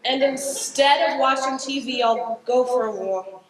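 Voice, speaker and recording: A very high, bright female voice, distant on an echoey stage. A woman acts out a flustered, exasperated character in live improv comedy, speaking slowly and hesitantly. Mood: bargaining. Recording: echoey stage, distant mic, some noise.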